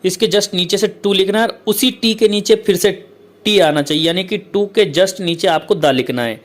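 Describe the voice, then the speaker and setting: A male teacher who explains a letter format step by step, repeats points often and reads English lines out slowly. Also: sits high. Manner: repetitive, keeps saying "okay, friends".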